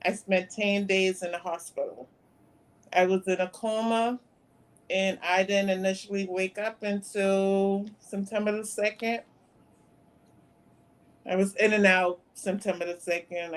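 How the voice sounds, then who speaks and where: A woman in her fifties, a guest on a talk show, recounting events in a plain, soft-spoken way. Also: mid-range voice, level tone, a little slow. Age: 50s. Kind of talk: interview conversation.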